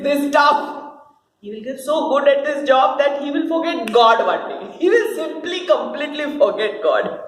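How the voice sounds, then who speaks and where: Woman performing a sneering, theatrical serpent, slow and swooping. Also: high-pitched. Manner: sneering, melodramatic, repeats words, drawn-out phrases. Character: scheming, jealous, theatrical serpent, mocking fallen angel. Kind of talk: dramatic reading of a stage play. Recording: roomy echo with clear background noise.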